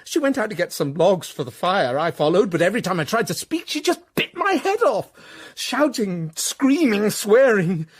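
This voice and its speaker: A guilt-ridden, defensive British husband in a radio drama. His husky voice is strained and anguished, with big swings in intonation. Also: high-pitched, very animated, moderate pace, hardly any pauses. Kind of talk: emotional radio drama acting.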